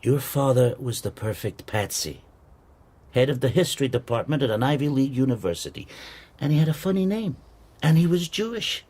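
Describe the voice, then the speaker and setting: An actor playing a worldly, probing professor in a radio drama, speaking in a dry, measured, erudite manner. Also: male voice, medium pitch, sing-song, darkish tone, breathy edge. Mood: wry, grave. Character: worldly, probing male history professor, wry.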